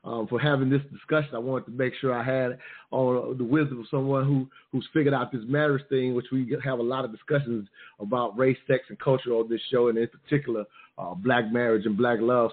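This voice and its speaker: A polite male radio host leading a call-in talk show. His middle-pitched voice comes through a narrow, phone-like channel, and he keeps his courteous, easygoing tone. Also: steady tone, fairly quick. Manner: courteous, leans on "if you will".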